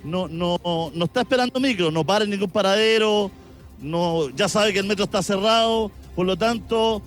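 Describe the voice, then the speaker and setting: Chilean guy doing live street reporting, high-ish voice, chatty, faint music under it. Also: moderate pace. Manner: conversational, asks passers-by short questions. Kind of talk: live field news report with street interviews. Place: busy city avenue outdoors among walking crowds.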